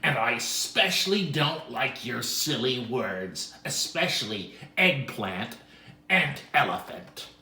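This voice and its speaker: A man's voice: mid-range voice, lively intonation, slow, pushed and bright, echoey room, full character voice. A man voicing an angry, sulking, grumbling alphabet letter in a children's story. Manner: grumbling, insulting, self-pitying.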